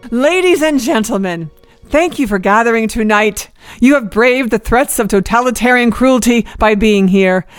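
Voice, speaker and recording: An actress playing a proud, gracious theater hostess in a radio drama, formal and welcoming. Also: female voice, on the high side, moderate pace, clear, resonant tone, no echo, faint music behind, close to an everyday voice. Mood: gracious, cautioning. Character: proud, gracious mistress of ceremonies at secret theater.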